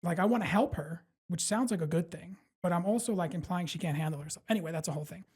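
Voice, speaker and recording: A man's voice: high voice, quick pace, husky. A male comedian with a New York accent, telling a rambling, profane story in a casual podcast chat. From Brooklyn, New York. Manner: animated, profane, rambling, full of fillers and self-mockery. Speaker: stand-up comedian guest.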